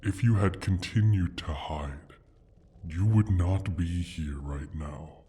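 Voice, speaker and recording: Very deep, husky, brooding dragon. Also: male voice, warm tone, heavily acted. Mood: calm menace. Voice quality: husky, breathy rumble with whispered edges. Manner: deliberate, brooding, condescending, sighing. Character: injured, proud male dragon guarding his hoard, menacing. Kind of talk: slow theatrical fantasy ASMR roleplay monologue.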